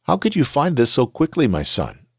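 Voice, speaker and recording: A man reading aloud the words of a gentle, solemn old blind father in a scripture reading. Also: medium pitch, huge pitch swings, moderate pace, husky, dry recording, studio quality, pitched above the performer's own voice, exaggerated voice.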